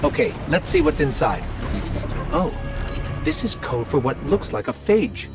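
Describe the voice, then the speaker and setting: A quippy, helpful robot sidekick from a video game. The voice is fairly high and animated, earnest and curious, with music underneath. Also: male voice, unhurried, heavily acted. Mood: focused, intrigued. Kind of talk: video game cutscene acting.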